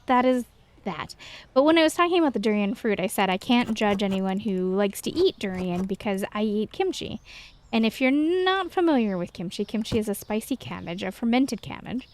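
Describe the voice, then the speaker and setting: A woman's voice: on the high side, animated, normal speed. Casual, rambling American woman chatting. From Texas, United States. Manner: rambling, self-deprecating storytelling. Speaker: gaming video host. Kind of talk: casual chatty gameplay commentary.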